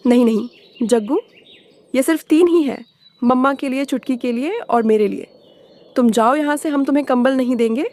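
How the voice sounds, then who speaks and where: A woman's voice: high-pitched, normal speed, darkish tone, natural voice. A woman voicing a small, innocent but defiant child sparrow in a cartoon, with a childlike manner. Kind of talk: Hindi moral cartoon voice acting.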